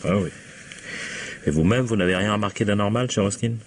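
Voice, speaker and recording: An actor playing a sardonic, dogged police inspector in a French radio mystery, prodding with irony and drawn-out interjections. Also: male voice, fairly low pitch, a little hiss or hum, close to an everyday voice. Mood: sardonic, probing. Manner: ironic, prodding, drawling interjections. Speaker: actor in a radio crime drama.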